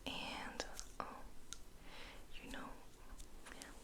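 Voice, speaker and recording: A woman hosting an ASMR video in a breathy, intimate whisper, rambling casually and softly to the viewer. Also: extremely slow.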